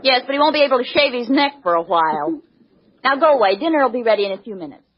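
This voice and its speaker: Exasperated high-voiced scolding mother. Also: big pitch swings, quick pace. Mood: weary. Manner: bossy, exasperated. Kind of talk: 1940s radio comedy acting. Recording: noisy, muffled 1940s radio with studio audience.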